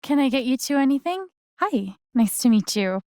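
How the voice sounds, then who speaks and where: An actress playing a young adult woman in a video game, warm, awkward and sarcastic, talking in a casual, halting, naturalistic way. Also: sits high, big pitch swings, dry recording, studio quality. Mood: friendly, easygoing.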